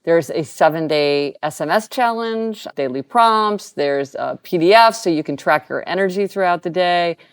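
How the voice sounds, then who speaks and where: A woman's voice: fairly low pitch, normal speed, few pauses, smooth. A middle-aged woman co-hosting a podcast in casual chat, upbeat and prone to exclaiming as she reads out links and resources. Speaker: podcast co-host.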